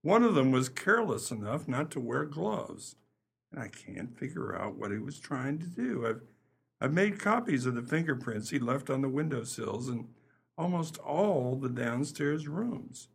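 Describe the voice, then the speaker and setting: Man playing a gravelly, husky, plodding detective. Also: medium pitch, sing-song, unhurried, exaggerated voice. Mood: puzzled. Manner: hesitant, methodical, polite. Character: plodding, deferential male police detective. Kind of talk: amateur radio play acting.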